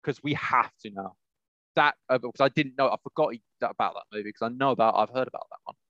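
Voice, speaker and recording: A man's voice: medium pitch, quick pace, fairly bright tone, no echo, muffled recording. A man chatting casually as a podcast co-host, rambling and jumping between thoughts. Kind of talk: casual chat about video game movies.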